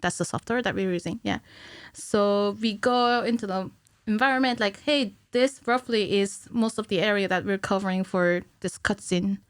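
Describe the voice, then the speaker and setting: A woman in her thirties speaking as a podcast guest, explaining technical work conversationally and a little haltingly, thinking aloud into a studio microphone. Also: mid-range voice, clean tone, no echo. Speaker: podcast guest, cinematic animator. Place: small indoor podcast studio. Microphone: studio microphone on a stand.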